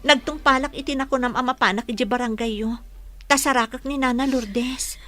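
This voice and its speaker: An anxious young captive in a radio drama. Her voice is high and bright, pleading and grateful, with faint music underneath. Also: brisk. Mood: pleading, weary. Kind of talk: Ilocano radio drama acting.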